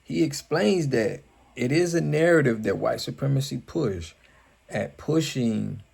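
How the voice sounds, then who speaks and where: An American man hosting a reaction video, commenting in a deliberate, halting way and challenging with rhetorical questions. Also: mid-range voice, gravelly, a little mellow, breathy edge. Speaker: host of a reaction video channel.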